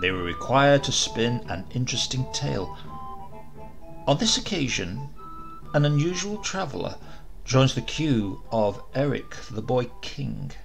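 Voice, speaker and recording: A man reading a comic story aloud as storyteller, with a measured, lively delivery. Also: medium pitch, creaky, faint music behind.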